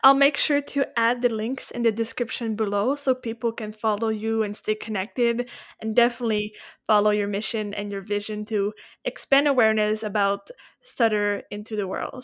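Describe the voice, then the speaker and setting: A woman's voice: a little high, fairly even intonation, normal speed. A woman hosting a podcast interview, giving affirming, encouraging replies. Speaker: podcast host.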